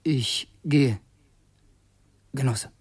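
An actor playing a tormented revolutionary assassin in a radio play, speaking tensely and repeating himself obsessively. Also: male voice, medium pitch, drawn-out words, husky, heavily acted. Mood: exhausted, resigned. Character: tormented revolutionary assassin posing as loyal aide. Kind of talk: German radio play acting.

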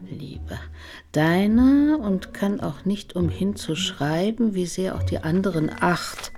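A self-important, disdainful parson's wife in a period radio play. Her voice sits fairly low and mellow, and she takes her time, placing every sour remark with care. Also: animated, slow pace, soft background music. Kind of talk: German literary radio play acting.

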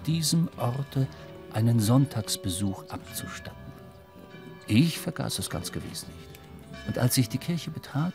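A man playing a reflective seafaring storyteller, narrating a radio play in a measured, literary way. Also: medium pitch, creaky, darkish tone.

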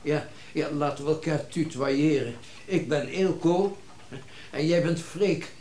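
A man's voice: a little high, unhurried, warm tone, reverberant, slight background noise, natural voice. A man acting in a radio drama as a wealthy factory owner, genteel, eager and chatty. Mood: friendly, wistful.

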